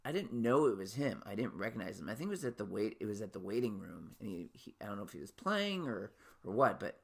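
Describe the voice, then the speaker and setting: Man, late 30s, podcast host, high voice, animated pitch, rambling, lots of false starts. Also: fast, vocal fry, husky. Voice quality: naturally high voice he can drop lower. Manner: rambling, with frequent restarts and fillers. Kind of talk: casual solo monologue.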